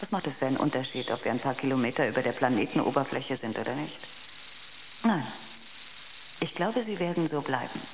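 Low-voiced, logical ship's computer. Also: female voice, a little fast, noisy background. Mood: dryly argumentative. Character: female ship's computer, logical, precise, quietly argumentative. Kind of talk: radio drama acting.

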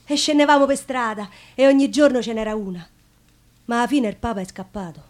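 A woman's voice: on the high side, fairly quick, lightly acted. An actress playing an outspoken, devout working-class Roman woman, telling her story in colloquial dialect in a theatre monologue. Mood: proud, frank. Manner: plain-spoken, colloquial, confiding.

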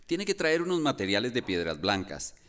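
A man's voice: mid-range voice, very animated, moderate pace, a bit bright. A man acting a polite, reassuring local man in a radio drama, hesitant in manner. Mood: apologetic, reassuring. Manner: hesitant, with small fillers. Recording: faint background noise.

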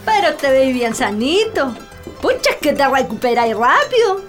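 Sceptical village woman, rural Chilean accent, high-pitched, teasing, radio drama, soft background music. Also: big pitch swings, moderate pace. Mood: mocking. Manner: mocking, colloquial.

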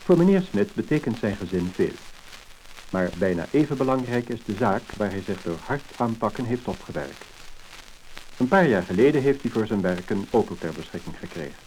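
A man with a Netherlands Dutch accent reading a scripted 1950s narration in a measured, formal manner. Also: lowish voice, lively intonation, a little mellow. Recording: crackly, hissing mid-century disc recording.

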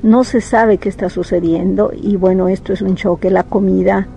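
Gravelly dark-voiced Peruvian woman explaining. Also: medium pitch, steady tone, normal speed, faint music behind, low-quality recording. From Peru. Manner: reflective, thinks aloud with many tag questions. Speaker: psychologist interviewee. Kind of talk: interview answers, explanatory.

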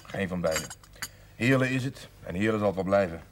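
An actor playing a concerned, sensible grown son with an earnest, matter-of-fact manner in a naturalistic television drama. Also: male voice, deep voice, lively intonation, brisk. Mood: wry. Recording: noisy 1970s television soundtrack.

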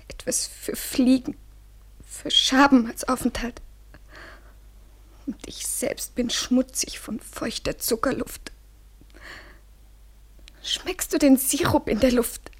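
Breathy, high-voiced vulnerable young woman. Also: steady tone, full character voice. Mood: plaintive, disgusted. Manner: breathy, halting, often falling to a whisper. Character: vulnerable, anxious young woman in a doomed love affair. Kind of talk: 1970s German radio drama acting.

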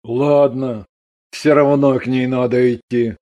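A man voicing a gruff, wry but steady husband and father in a dramatised story. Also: a little high, takes it slowly, heavily acted. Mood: calm, resigned.

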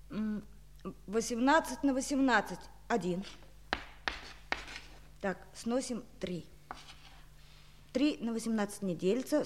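A woman playing a hapless, boastful schoolboy in a children's audio play, excitable, muttering and exclaiming. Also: fairly high pitch. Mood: muttering, confident.

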